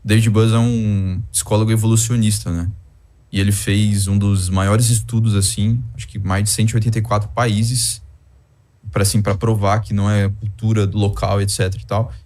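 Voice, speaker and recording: A man thinks aloud in a relaxed, hesitant way, using many fillers. His voice sits on the low side. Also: normal speed. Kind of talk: casual discussion.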